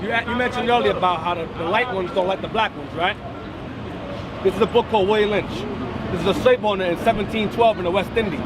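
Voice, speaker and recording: A man's voice: sits high, quick pace. A man preaching on the street, reading a text aloud and stressing key words, with commentary in between. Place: outdoors on a busy street. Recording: outdoor street recording with crowd noise.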